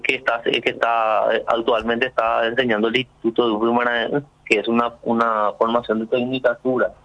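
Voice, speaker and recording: A man's voice: mid-range voice, a bit bright, muffled recording. A man from Paraguay, a sign language interpreter interviewed on the radio. He explains things earnestly, with many fillers and restarts.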